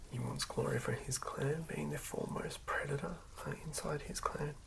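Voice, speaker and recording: A person whispering softly in an ASMR style, narrating a tabletop character build in a breathy, creaky hush. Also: medium pitch, fairly even intonation, moderate pace. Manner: hushed whispering. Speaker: ASMR creator.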